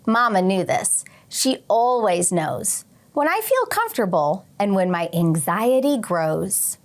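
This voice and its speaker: Female teacher, very unhurried delivery, mid-range pitch, expressive children's read-aloud addressed straight to young listeners. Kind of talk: children's picture book read-aloud with short teaching asides.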